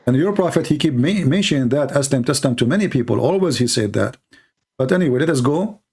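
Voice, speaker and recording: A man, Arabic his first language, argues in English with a rough, gravelly edge. He hammers the same points again and again in a pressing debating manner. His pitch sits in an ordinary middle range. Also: lively intonation, fast talker, darkish tone. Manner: insistent, repeats catchphrases. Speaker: debate host. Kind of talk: heated debate.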